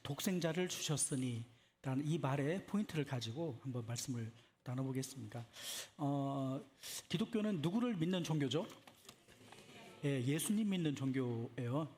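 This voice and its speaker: A man's voice: fairly high pitch, darkish tone. A man preaching in a conversational style, putting questions to listeners and often repeating short affirmations. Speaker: preacher at a church retreat. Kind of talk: conversational sermon with questions to congregation.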